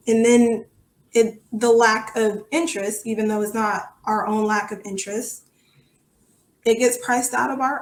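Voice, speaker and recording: A woman answering interview questions conversationally as a podcast guest, thinking aloud in an earnest, reflective way. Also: mid-range voice. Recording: roomy, echoey sound.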